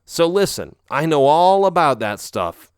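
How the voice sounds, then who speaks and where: A man's voice: fairly high pitch, very animated, a little slow, smooth, no echo, studio quality, pitched above the performer's own voice. A man putting on a cheerful young woman friend. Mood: chatty.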